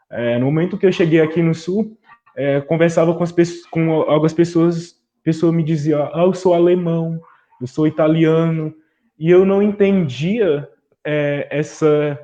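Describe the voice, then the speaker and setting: A man from Maranhão, a guest, speaking in a measured, reflective way. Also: fairly high pitch, warm tone. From Maranhão, Brazil. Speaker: guest, student activist. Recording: dull lo-fi call audio.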